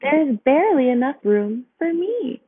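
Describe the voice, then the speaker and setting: A narrow, phone-like recording of a little storybook ant, voiced by a woman. It is kindly but hesitant and puzzled, with a high, lively lilt. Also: normal speed. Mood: protesting. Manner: protesting gently, puzzled.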